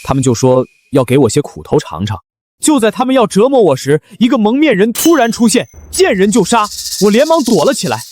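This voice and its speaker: An actor playing a young husband who hides great power behind a harmless front, in a dramatized story. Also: male voice, mid-range voice, expressive, brisk, hardly any pauses, warm tone, natural voice. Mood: earnest storytelling. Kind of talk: dramatized fiction voice acting.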